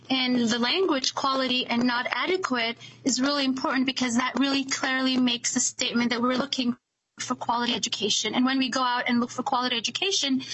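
High-voiced woman testifying. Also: bright, forceful tone, slight background noise, low-quality recording. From Somalia. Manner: earnest, with frequent fillers and restarts. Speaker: educator giving public testimony. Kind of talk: unscripted public testimony.

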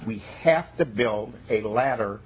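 A man's voice: fairly low pitch, a little slow, husky, low-quality recording. A male state legislator introducing himself at a committee meeting, folksy and emphatic with joking asides.

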